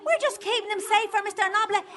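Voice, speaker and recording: A woman's voice: extremely high pitch, quick pace, fairly bright tone, a faint crowd. A woman playing a cheeky, innocent-sounding Dublin child in a radio drama. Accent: Dublin Irish.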